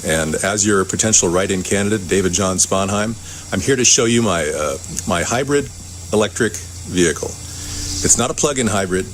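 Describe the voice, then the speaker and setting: Deep-voiced man in a campaign clip. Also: moderate pace, slight background noise. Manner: upbeat, showing off. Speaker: political candidate. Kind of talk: campaign video.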